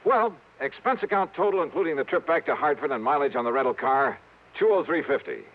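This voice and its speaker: An actor playing a wry, cool insurance investigator in a 1950s radio noir drama. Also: male voice, on the high side, lively intonation, brisk, slight background noise, lightly acted. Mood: wistful, breezy. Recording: muffled old radio broadcast with music.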